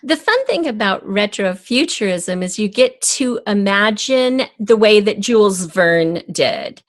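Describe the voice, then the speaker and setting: A woman's voice: mid-range voice, lively intonation, unhurried, no room sound. A woman talking as a guest in a conversational interview, explaining her ideas thoughtfully. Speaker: podcast guest, audio drama writer.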